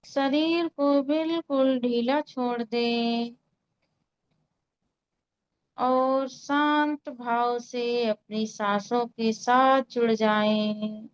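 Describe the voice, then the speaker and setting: A woman leading a guided meditation in a calm, soothing way, giving unhurried instructions with long pauses. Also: a little high, clear, resonant tone, highs cut off. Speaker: meditation guide and Reiki healer.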